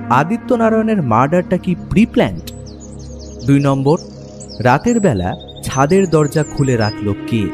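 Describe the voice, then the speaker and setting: A man's voice: a little high, a little mellow. A man with a Bengali accent giving dramatic first-person narration of a detective story. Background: music under the narration.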